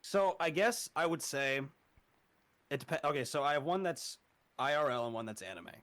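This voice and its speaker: A man's voice: a little high, expressive, normal speed, a bit bright. Young adult male guest, horror narrator, laid-back and profane, casual chat. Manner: laid-back, swears often, laughs a lot.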